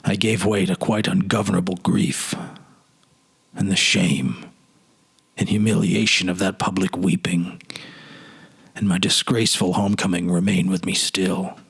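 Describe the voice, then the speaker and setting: Wistful, haunted gentleman played by a male reader, low husky voice, halting earnest delivery, for literary audio drama. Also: level tone, full character voice. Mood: wretched, grieving. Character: Wallace, haunted wistful man recalling a lost childhood garden.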